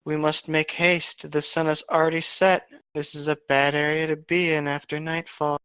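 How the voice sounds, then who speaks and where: A man's voice: on the high side, level tone, moderate pace, fairly bright tone, clean tone, no room sound, lightly acted. A man voicing a stern, urgent male fantasy guide in a dramatic story reading. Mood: worried, insistent. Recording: dull lo-fi mic.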